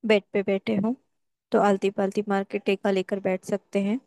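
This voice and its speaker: A woman guides a meditation in short, measured phrases. Her voice is mellow and stays very flat in pitch. The sound is dry, with no room around it. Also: dry recording.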